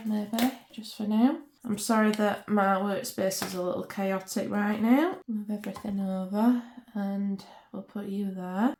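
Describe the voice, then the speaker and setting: A woman with a slightly croaky voice, chatting casually and muttering to herself as she works at her craft desk. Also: medium pitch, mellow, slight background noise. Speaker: resin craft channel host. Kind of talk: casual crafting vlog, thinking aloud. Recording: roomy echo.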